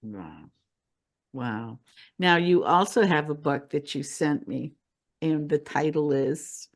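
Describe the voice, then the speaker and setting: A woman hosting a casual podcast interview, informal, expressive and quick to react. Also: low-pitched.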